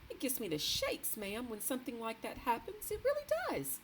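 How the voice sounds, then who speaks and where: A woman's voice: on the high side, huge pitch swings, normal speed, a little hiss or hum, pitched above the performer's own voice. A woman voicing a startled, deferential middle-aged maid who keeps saying ma'am. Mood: flustered.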